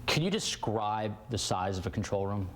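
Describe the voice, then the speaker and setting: A man's voice: fairly low pitch, expressive, moderate pace, fairly bright tone, slight background noise. A man with an American accent asking probing questions as a television interviewer. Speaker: interviewer, TV reporter.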